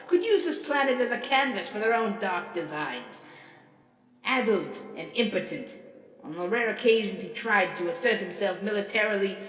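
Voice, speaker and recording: A woman voicing a vain, grandiose robot leader testifying in court in a theatrical, pompous, oratorical dramatic reading. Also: a little high. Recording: echoey hall reverb, distant, music behind.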